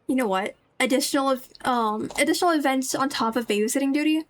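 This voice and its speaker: Female streamer, high voice, chatty and enthusiastic, casual livestream delivery. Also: fast, a little hiss or hum. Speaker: VTuber game streamer.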